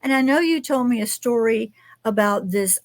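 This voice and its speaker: A woman with a Texas accent hosting an interview, speaking in a soft, measured way. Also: fairly high pitch, no room sound. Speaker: podcast host. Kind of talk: interview conversation.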